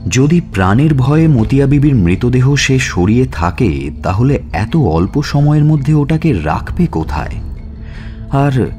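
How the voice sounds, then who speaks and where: An actor playing a police detective who narrates his murder investigation in a deliberate, questioning manner in an audio suspense story. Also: lowish voice, darkish tone.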